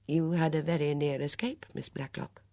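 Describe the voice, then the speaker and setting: A woman voicing a quiet, deliberate male police inspector questioning witnesses in an audiobook. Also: deep voice, steady tone, creaky, dry recording, studio quality. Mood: measured, quiet. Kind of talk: audiobook character dialogue.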